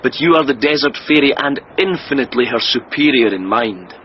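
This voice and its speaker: An actor playing a handsome, lovesick young king who flatters deviously, earnest and courtly in a fairy-tale audio drama. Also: medium pitch, fairly quick, dull audio, a little hiss or hum, natural voice. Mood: courtly flattering.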